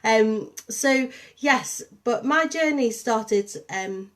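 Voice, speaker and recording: A young woman with a Liverpool and St Helens accent, softened by years in Yorkshire, talks through a casual livestream. Her voice sits a little high, and she chats to viewers as she goes, with plenty of ums and uhs. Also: expressive, extremely slow. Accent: Liverpool and St Helens with a touch of Yorkshire. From Liverpool, England. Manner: chatty, warm greetings to viewers, many ums. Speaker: artist and programme coordinator hosting a livestream.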